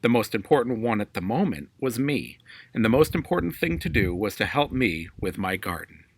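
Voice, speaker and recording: A man as a wise, measured old turtle. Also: lowish voice, very animated, a little hiss or hum. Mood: wise, calm. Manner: measured, explanatory. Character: wise old male turtle, patient and gentle.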